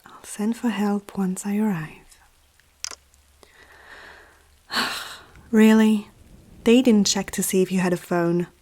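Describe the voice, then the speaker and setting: A woman voicing a powerful, teasing female vampire noble in an ASMR roleplay, speaking softly and often close to a whisper, with an aristocratic, self-assured manner. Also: medium pitch. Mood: amused, exasperated. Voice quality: soft, breathy, often near whisper. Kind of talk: ASMR roleplay addressed to the listener.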